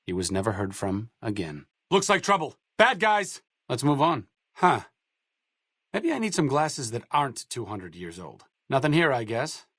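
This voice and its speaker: A man's voice: medium pitch, big pitch swings, moderate pace, fairly bright tone, breathy edge, no echo. A man playing an educated, idealistic, dry-witted doctor in a post-apocalyptic video game, speaking in an articulate, understated way. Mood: alarmed, then casual, wry. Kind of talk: video game dialogue and scripted narration.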